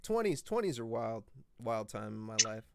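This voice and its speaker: A man's voice: lowish voice, big pitch swings, normal speed, vocal fry, fairly bright tone. A man in his late twenties hosting a podcast, telling a rowdy story in casual, profane chat. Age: 20s. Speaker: podcast host.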